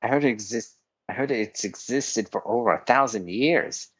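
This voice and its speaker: A man's voice: medium pitch, moderate pace, lo-fi sound, a little hiss or hum. A man streaming a game and voicing dialogue lines aloud.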